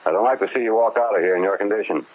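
A tinny, narrow old radio recording with faint noise carries a deep American man's voice. An actor plays a prison warden, paternal but exasperated, admonishing and questioning earnestly. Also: rapid-fire, close to an everyday voice. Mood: worried, exasperated. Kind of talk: old-time radio drama acting.

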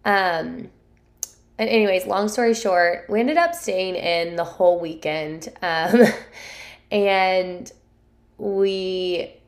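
A woman, hosting her podcast solo with a hoarse voice while recovering from a sore throat, rambling candidly with many fillers. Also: medium pitch, takes it slowly. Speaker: podcast host. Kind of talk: casual solo podcast chat.

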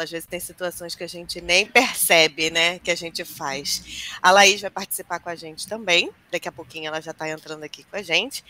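Woman host with a cold, bright and chatty, over a video call. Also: lowish voice. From Rio de Janeiro, Brazil. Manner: chatty, welcoming, talks to the audience directly. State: has a cold. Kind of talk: casual live show hosting.

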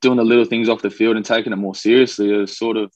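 Young australian man, casual podcast guest, relaxed rambling talk, muffled dry sound. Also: lowish voice, fast talker, no echo. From New Zealand, raised in Australia. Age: young adult. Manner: laid-back, rambling, many fillers. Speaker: rugby league player guest.

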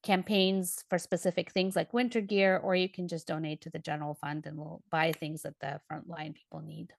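A woman from Ukraine, a podcast panelist chatting casually, animated and full of fillers. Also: low voice, moderate pace, gravelly.

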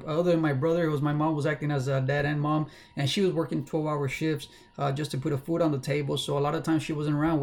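Halting casual young man, fairly high voice. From South Texas, USA. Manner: halting, many fillers and restarts; candid, personal. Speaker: livestream host. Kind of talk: casual livestream monologue.

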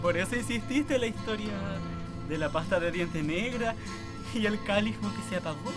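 Woman acting hurt but devoted lover in a radio drama, mid-pitched, heartfelt pleading, plucked guitar under it. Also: lively intonation, moderate pace, lightly acted. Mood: questioning. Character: devoted, wounded woman who waited years for her lover.

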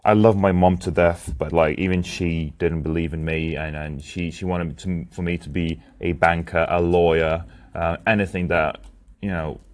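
A young man from Lithuania, speaking English as a second language, talks through his plans in a reflective personal diary monologue, hesitating often with ums and restarts. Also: low-pitched. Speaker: podcast host and founder.

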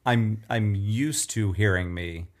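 A man's voice: lowish voice, slow. A man with a nasal, throaty voice, chatting casually and self-deprecatingly as a podcast co-host. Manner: self-deprecating, rambling with restarts. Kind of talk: casual chat.

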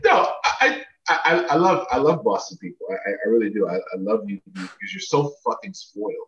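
A man's voice: medium pitch, very animated, brisk, a bit bright, slight background noise. A man guesting on a sports podcast, bantering casually and emphatically, repeating words for effect. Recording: dull, roomy remote connection, distant mic.

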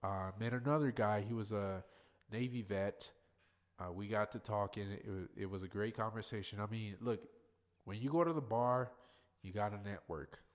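A man's voice: lowish voice, moderate pace. A man hosting his own podcast, talking alone in a loose, rambling way with some swearing; he is congested and sounds nasal. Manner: rambling, profane, loose and chatty. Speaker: podcast host. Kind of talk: casual solo podcast monologue.

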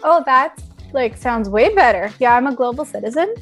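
A woman's voice: on the high side, expressive, moderate pace, soft background music. A young woman from Thailand, a podcast guest talking casually with chatty, self-deprecating humor.

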